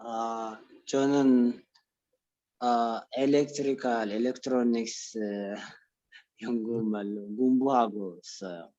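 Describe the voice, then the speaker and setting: Student guy, quick but hesitant answers, muffled lo-fi call audio. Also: medium pitch, fairly even intonation, mellow. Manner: hesitant, searching for words. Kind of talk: halting answers in a language class. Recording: dull lo-fi video call audio.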